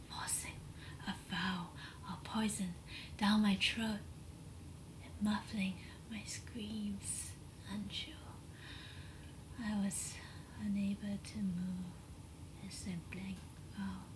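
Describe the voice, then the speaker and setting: A woman voicing a seductive princess of a dark fantasy kingdom, telling her story as a whispered ASMR bedtime tale in a breathy, sensual, dramatic way. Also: medium pitch, lightly acted, poor audio. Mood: ominous, seductive. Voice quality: breathy, mostly unvoiced whisper. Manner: slow, sensual, dramatic pauses.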